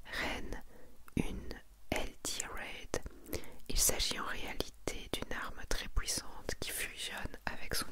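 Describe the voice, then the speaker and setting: Whispering French-style ASMR woman, breathy and hushed. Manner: soft, hushed, intimate delivery. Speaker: ASMR creator presenting a manga collection. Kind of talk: whispered ASMR, reading summaries with casual asides.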